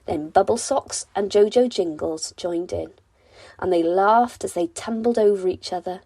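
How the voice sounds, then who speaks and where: A woman reads a children's bedtime story with gentle expression. Her voice is mellow and mid-pitched, and the recording is close and dry. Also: moderate pace, no room sound, rough recording.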